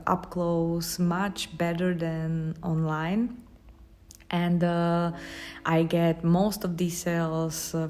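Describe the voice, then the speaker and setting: A woman with a Slovak accent, a watercolor artist chatting casually while she paints, in a halting, thoughtful way with frequent pauses. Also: on the low side, a little mellow.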